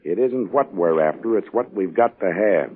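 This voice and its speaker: A hard-bitten crook on a muffled 1940s radio recording. His voice is fairly low but moves with lively swings as he bluntly and insistently presses his case. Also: moderate pace, a little hiss or hum. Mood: pressing. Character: hard-bitten, pragmatic male gang accomplice, pressing and persuasive. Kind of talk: 1940s radio crime acting.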